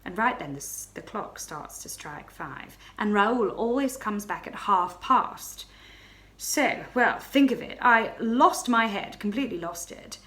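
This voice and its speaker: A woman's voice: medium pitch, animated, a little slow, fairly bright tone. Woman reading as a flustered young baroness. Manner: breathless, stammering, confiding.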